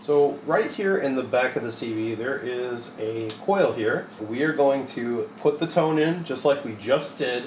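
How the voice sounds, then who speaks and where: A young man with a mid-pitched voice gives a practical, informal tutorial. He explains each step in plain words, like a technician at the bench. The small workshop sounds echoey, with noise in the background. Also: lively intonation, a little slow, hardly any pauses, darkish tone. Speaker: repair technician demonstrating a modification. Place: small cluttered electronics repair workshop, indoors.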